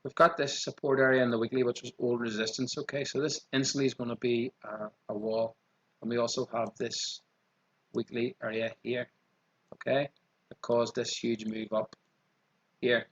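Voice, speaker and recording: A man with a strong Irish accent walking through trading charts quickly, often saying "okay" as he goes. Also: mid-range voice, flat delivery, husky, muffled recording. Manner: quick, repeats 'okay' as a filler. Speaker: trading channel host. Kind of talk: screen-share chart walkthrough.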